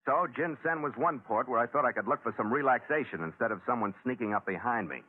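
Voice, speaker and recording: A man's voice: medium pitch, lively intonation, fast talker, slightly rough. An actor playing a worldly sea captain, narrating his voyage in clipped, wry 1940s radio adventure style. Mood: measured storytelling. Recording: lo-fi vintage 1940s radio recording.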